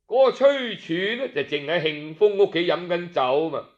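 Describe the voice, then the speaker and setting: A man with a Cantonese accent narrating a story in colloquial traditional style, expressive and drawing out his sentence endings. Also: high voice. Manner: expressive, with drawn-out particles. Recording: slightly dull, lo-fi audio.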